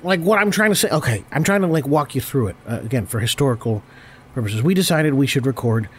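A man with a cold, hoarse and stammering, hosting an improvised comedy podcast and constantly correcting himself. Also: mid-range voice, lively intonation, fast. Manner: rambling, stammering, self-correcting. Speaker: podcast host.

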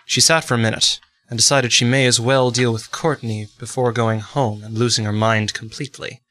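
A man's voice: medium pitch, fairly even intonation, bright, forceful tone, natural voice. A man playing a solemn, suspenseful soap-opera narrator in a scripted radio serial. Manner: measured, melodramatic.

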